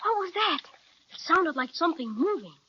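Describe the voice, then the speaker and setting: An eager, kindly young boy, played by a woman in a children's radio serial. The voice is very high and bright, swinging with curiosity. The recording sounds dull. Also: very animated, normal speed, exaggerated voice. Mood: curious. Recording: dull lo-fi audio.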